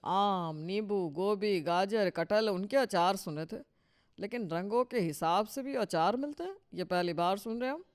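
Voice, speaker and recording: A man's voice: sits high, animated, measured pace, clean tone. A man playing a henpecked older father-in-law in a Hindi cartoon story, teasing and placating in a colloquial, folksy way. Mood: puzzled.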